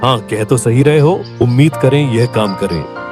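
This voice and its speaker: A man playing a worried older farmer and father in a Hindi cartoon story, speaking earnestly and in measured phrases. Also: medium pitch, animated, over music, close to an everyday voice. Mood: calm. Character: worried old farmer, father of three lazy sons.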